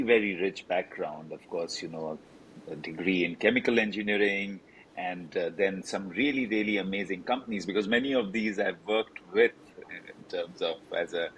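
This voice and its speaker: A man's voice: low voice, a little hiss or hum, low-quality recording. A male podcast host interviewing a guest in a friendly, effusive way, with frequent fillers. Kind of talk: interview hosting.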